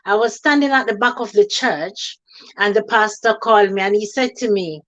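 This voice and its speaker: A woman's voice: mid-range voice, normal speed, a bit bright. A woman born in Jamaica and raised in England, a nurse telling her story earnestly in an interview over a video call. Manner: earnest storytelling, slips into quoting others. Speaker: guest, nurse running a charity.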